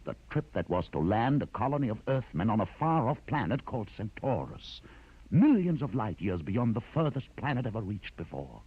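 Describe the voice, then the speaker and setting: An actor in a vintage radio drama playing a learned, commanding rebel leader with a measured, grandiose, theatrical delivery. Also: male voice, lowish voice, sing-song, breathy edge, no room sound. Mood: solemn, narrating. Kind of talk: 1950s radio drama acting. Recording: dull, muffled vintage radio recording.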